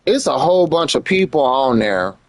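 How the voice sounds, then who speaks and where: A man's voice: fairly high pitch, sing-song, moderate pace, no echo. An American man chatting casually on a livestream in slangy speech, repeating phrases for emphasis. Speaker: live streamer. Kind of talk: casual livestream commentary chat.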